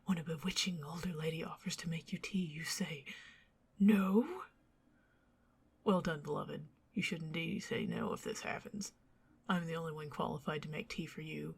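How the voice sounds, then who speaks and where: A woman playing a bewitching older vampire lady in a whispered ASMR roleplay, doting and fussy, with arch, theatrical, flowery phrasing and a breathy, husky voice. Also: sits low, normal speed, full character voice. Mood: mock-offended, teasing.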